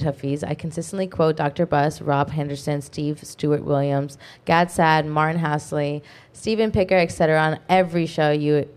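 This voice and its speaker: A woman co-hosting an online talk show, reading viewer comments aloud quickly, with frequent fillers. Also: deep voice, a little mellow. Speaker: co-host reading super chats. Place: online video podcast studio.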